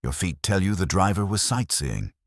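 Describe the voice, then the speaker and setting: Male actor as wisecracking detective for audiobook mystery, rock-bottom pitch, dry close sound. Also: sing-song, normal speed, no room sound. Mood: wry. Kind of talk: audiobook character dialogue.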